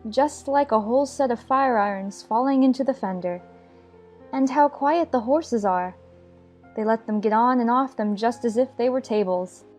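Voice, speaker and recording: A woman voicing a curious, polite young girl in a storybook audiobook, musing and gently plaintive. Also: fairly high pitch, moderate pace, faint music behind, lightly acted.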